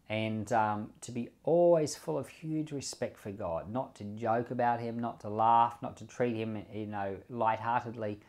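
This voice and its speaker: A man's voice: lowish voice, darkish tone. A pastor giving conversational bible commentary and closing in prayer, often repeating words as he thinks aloud, with the odd light chuckle.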